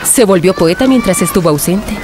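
A woman's voice: on the low side, expressive, fairly quick, over music, natural voice. An actress playing a cheerful, playful young village woman in a dubbed film. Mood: teasing. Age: young adult. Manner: playful, teasing.

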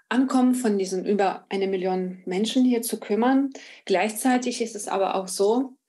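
A woman's voice: mid-range voice, darkish tone. A woman from Ukraine, giving spontaneous interview answers and searching for words at times. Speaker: interview guest, chair of an association.

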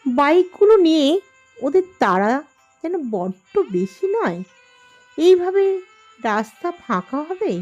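A woman's voice: high-pitched, sing-song, a little slow, pauses often, a little mellow, highs cut off, soft background music. A woman playing an observant, critical wife in an audio drama, speaking in an indignant, emphatic manner. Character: Saraswati, observant, critical wife on a car journey.

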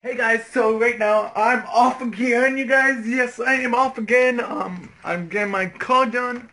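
A man in his twenties vlogging casually into a handheld phone, halting and repetitive, often trailing off into vague asides. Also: extremely high pitch, fairly even intonation, pushed and bright. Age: 20s. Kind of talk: casual rambling vlog talk. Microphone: phone at arm's length. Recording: faintly noisy handheld phone recording.

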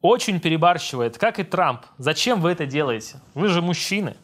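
A young man, a voice-over dubber, casually reading out viewer comments and answering them at his desk at home, relaxed and self-deprecating. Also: a little high, brisk. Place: home room with monitors, indoors.

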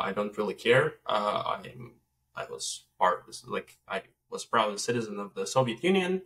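A man's voice: fairly low pitch, a bit bright, distant mic, poor audio. A man in his late twenties from Estonia, a native Russian speaker, talking thoughtfully as a podcast guest on a video call from home. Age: late 20s. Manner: measured, thoughtful, with frequent hesitations. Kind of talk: reflective conversation. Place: room at home, indoors. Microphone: laptop on a video call.

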